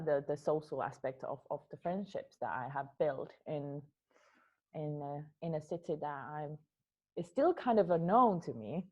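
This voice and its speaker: A woman's voice: deep voice, muffled recording. A young woman from Finland with a Finnish accent, answering interview questions about her dance work, often repeating words as she searches for them. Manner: hesitant, repeats words while thinking. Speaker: dance artist.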